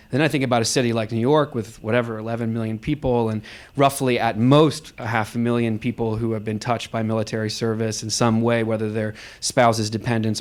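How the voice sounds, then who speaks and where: A man moderating a live audience talkback, speaking off the cuff in a reflective, explanatory way with halting phrases. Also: on the low side. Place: live event space with an audience.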